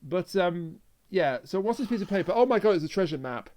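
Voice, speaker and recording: A man's voice: high-pitched, lively intonation, brisk, darkish tone. A man co-hosting a podcast, recapping a story in casual review talk and acting out quoted lines as he goes. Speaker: podcast co-host.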